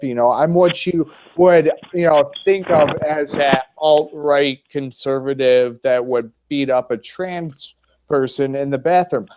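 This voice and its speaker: A man's voice: medium pitch, darkish tone. Plain-spoken man in casual chat. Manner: plain-spoken, hesitant fillers. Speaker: caller.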